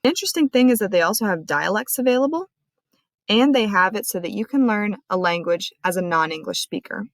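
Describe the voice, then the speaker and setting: Woman, librarian, friendly clear explainer, close dry mic, tutorial voice-over. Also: medium pitch, animated, a little fast, no echo. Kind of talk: screen-recorded tutorial walkthrough.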